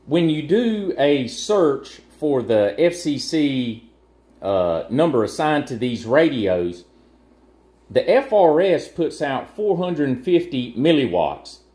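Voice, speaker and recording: A man's voice: medium pitch, darkish tone, echoey room. A man presenting a casual gear overview, explaining things in a plain, matter-of-fact way.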